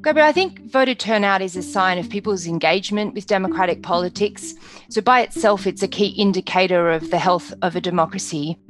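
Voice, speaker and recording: A woman's voice: mid-range voice, brisk, music in the background. A woman giving explanatory commentary as an academic guest. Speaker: law professor, expert guest.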